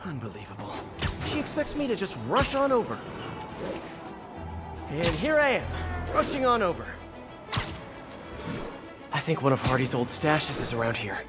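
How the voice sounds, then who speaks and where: An actor playing a young, quippy and earnest costumed superhero in a video game, with a wry, self-talking manner. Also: male voice, on the high side, huge pitch swings, moderate pace, pauses often, over music. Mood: wry muttering. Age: young adult.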